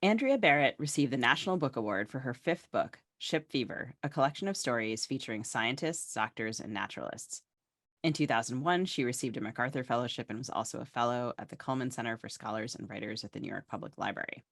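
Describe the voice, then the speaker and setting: A woman's voice: rumbling low, animated, fast, vocal fry, no room sound, clean studio sound. A woman co-hosting a podcast, moving between casual chat and scripted introductions. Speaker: podcast co-host.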